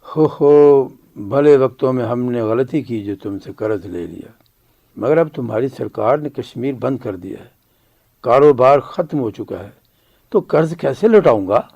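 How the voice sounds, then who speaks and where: A proud family patriarch in a radio play. His mid-pitched voice is grave and measured, with firm, defiant emphasis. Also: animated, gravelly, mellow, dull audio. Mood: indignant. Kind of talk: radio drama acting.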